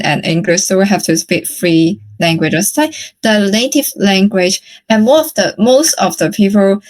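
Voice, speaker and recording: A woman from Hong Kong with a Hong Kong accent and Cantonese as her native language, chatting casually and politely in English practice, with some hesitation. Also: mid-range voice. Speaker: English conversation practice participant. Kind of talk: casual chat.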